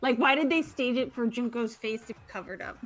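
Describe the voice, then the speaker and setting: A woman's voice: fairly high pitch, fairly quick, fairly bright tone, faint music behind. A woman playing a game with friends, curious and throwing out theories as questions. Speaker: player in a group playthrough. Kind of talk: casual chat with friends.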